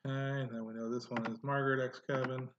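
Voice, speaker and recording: A man's voice: medium pitch, flat delivery, fast talker, muffled recording, background noise. Man talking through a screen-recorded tutorial. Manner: thinks aloud with frequent fillers. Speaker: genealogy tutorial host. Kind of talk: casual screen-recorded software walkthrough.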